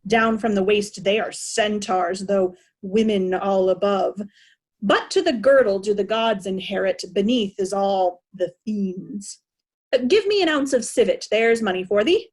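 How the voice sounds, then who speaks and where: A woman's voice: mid-range voice, measured pace, a little mellow, lightly acted. A woman playing a mad, bitter old king in an amateur Shakespeare reading, declamatory and scornful. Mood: bitter, disgusted. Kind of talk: amateur Shakespeare reader's theatre.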